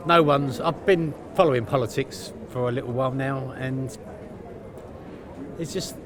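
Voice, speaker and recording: A man's voice: mid-range voice, measured pace, a crowd in the background. A man talking bluntly and with frustration to a street interviewer. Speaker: passer-by.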